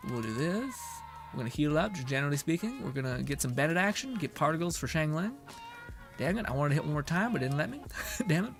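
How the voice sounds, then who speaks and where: Chill young guy streaming games, fairly high voice, soft music behind, laughs and swears a lot. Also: expressive, fast. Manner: laid-back, swears, laughs often. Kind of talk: casual gameplay chat, reading chat aloud.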